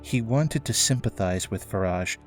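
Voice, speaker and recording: A man's voice: lowish voice, expressive, moderate pace. A man reading scripted narration for an audio drama, in a storytelling style. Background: faint music.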